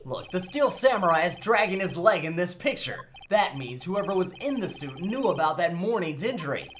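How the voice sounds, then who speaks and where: A man's voice: sits high, sing-song, normal speed, a bit bright, slight background noise. A man playing a cocky, wisecracking young defense lawyer in a video game fan dub, theatrical and sarcastic. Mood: accusing. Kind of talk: fan-dub visual novel game acting.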